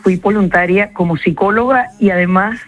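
A woman's voice: mid-range voice, moderate pace. A woman with a Venezuelan accent, a psychologist answering interview questions in a reflective way and repeating key words for emphasis.

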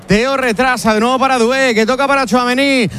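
Bright high-voiced football commentator. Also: male voice, fairly even intonation, slight background noise. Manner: fast, bright play-by-play calls with jokes. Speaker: play-by-play commentator.

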